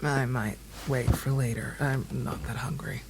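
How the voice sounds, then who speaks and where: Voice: mid-range voice, steady tone, unhurried, vocal fry, background noise. An actor playing a guarded, tired partner who relays news in serious, understated audio drama dialogue. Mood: weary, guarded.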